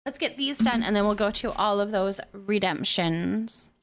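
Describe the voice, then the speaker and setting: A woman hosting a live stream, chatting casually with viewers in an animated, expressive, sympathetic and informal way as she reads and answers their comments. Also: mid-range voice, normal speed.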